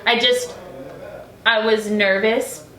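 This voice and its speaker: A woman's voice: mid-range voice, steady tone, slow, a bit bright. Young woman, singer-songwriter guest, chatty, filler-heavy, roomy echo. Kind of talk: casual interview chat.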